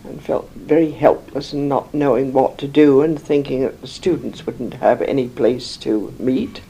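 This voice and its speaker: An older woman from England, slowly recalling her life in an interview, rambling with many pauses and fillers. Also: extremely low pitch, mellow, a little hiss or hum, rough recording. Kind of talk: oral history recollection.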